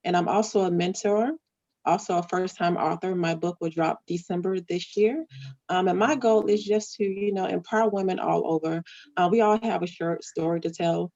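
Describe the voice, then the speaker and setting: A woman, an event planner and mentor, giving a friendly, relaxed self-introduction on a video call. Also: lowish voice, moderate pace, no echo, lo-fi sound.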